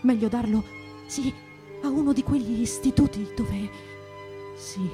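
A woman's voice: fairly high pitch, measured pace, music in the background, lightly acted. A woman playing a timid, dithering spinster, murmuring hesitantly in broken phrases in radio theatre. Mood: hesitant musing. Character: timid, dithering spinster musing on gentle killing.

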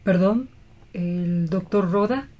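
A woman playing a grief-stricken, guilt-ridden doctor in a radio drama, solemn, halting and imploring. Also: on the low side, warm tone. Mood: pleading.

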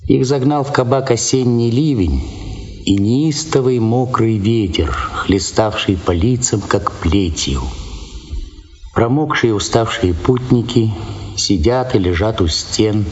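A man's voice: mid-range voice, a little slow, a little mellow, a little hiss or hum. A man reading scripted literary narration for a radio drama. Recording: slightly dull sound over clear music.

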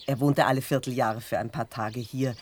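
An actress playing a fretful, chatty country innkeeper in a radio drama, confiding and plaintive. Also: female voice, extremely low pitch, fairly even intonation, normal speed, darkish tone, slight background noise.

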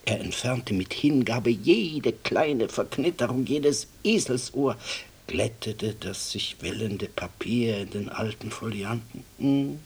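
An actor playing a gentle, devout librarian in a radio drama, reverent and reflective, with a husky breathy voice. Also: male voice, mid-range voice, expressive, slow, a little hiss or hum. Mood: reverent, wistful. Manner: reverent, reflective storytelling with deferential asides.

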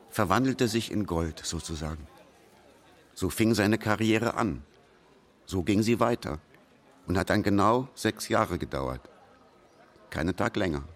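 Male producer role for radio drama: lower register, wry raconteur, proud of the past. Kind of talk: radio play narration.

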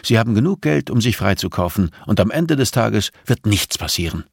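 A hard, hate-filled woman out for revenge in an audiobook thriller, acted by a male narrator. The pitch is lifted well up, and the delivery is cutting, bitter and insistent. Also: big pitch swings, a little fast, vocal fry, breathy edge, dry recording, studio quality, full character voice. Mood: hateful, resolute. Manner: insistent, cutting, sharply emphatic.

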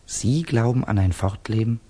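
An actor in a 1960s German radio drama playing a young Russian student, a proud and fearful loner, intense and rhetorical. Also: male voice, fairly low pitch, moderate pace, mellow, breathy edge. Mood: tense, bitter.

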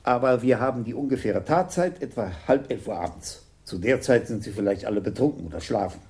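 An actor playing a businesslike police detective in a German radio crime drama, brisk and probing. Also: male voice, mid-range voice, darkish tone, natural voice. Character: businesslike male police detective questioning people.

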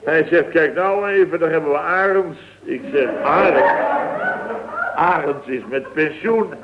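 A man's voice: fairly high pitch, measured pace, heavy background noise, lightly acted. An actor playing a grumbling, put-upon older man who rambles through his anecdotes indignantly in a comic radio play, acting out what others said. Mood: exasperated recounting. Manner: indignant, rambling, acts out reported dialogue. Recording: narrow-band old radio recording.

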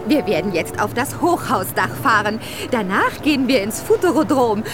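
An actress playing a bossy, impatient female teacher in a radio drama, briskly ordering children about and talking down to them. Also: on the high side, lively intonation, music in the background, natural voice. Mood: brisk, commanding. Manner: brisk, scolding, talks down to children.

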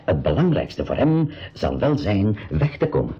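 An actor playing an idealistic older uncle and professor in a 1980s educational radio play, with a Flemish accent, a husky voice and a deliberate, explanatory manner. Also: male voice, fairly low pitch, big pitch swings, heavily acted. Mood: earnest, thoughtful. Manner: deliberate, explanatory, with hesitant repetitions. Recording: dull 1980s radio recording.

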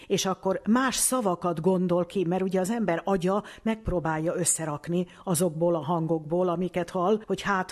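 A woman's voice: lowish voice, darkish tone. A woman speaking as an expert guest in an interview, explaining didactically with everyday examples.

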